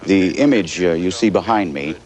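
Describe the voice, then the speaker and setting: A man playing a composed television news anchor covering a crisis live, measured but pausing haltingly. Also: low-pitched, a crowd in the background, lightly acted. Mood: grave, measured. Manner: measured, hesitant live delivery with halting pauses. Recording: noisy 1980s television broadcast audio.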